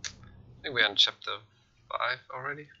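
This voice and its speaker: A man's voice: medium pitch, moderate pace, sharp tone, muffled recording, a little hiss or hum. A man giving casual video game commentary as he plays, with non-native English phrasing. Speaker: video game streamer doing a showcase playthrough. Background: game music and game sounds.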